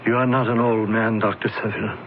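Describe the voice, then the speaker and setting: An actor playing a polite, soothing film projectionist in a radio drama, speaking in a measured way. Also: male voice, on the low side, little pitch movement, lightly acted. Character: smooth, courteous male film projectionist, reassuring. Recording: muffled radio recording, faint noise.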